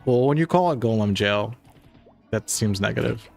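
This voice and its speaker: A man's voice: medium pitch, lively intonation, moderate pace, creaky, faint music behind. A young man casually chatting on a game stream, rambling with lots of fillers, with a slightly nasal voice. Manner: rambling, many "like" fillers, laid-back. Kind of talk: casual livestream chat reading chat.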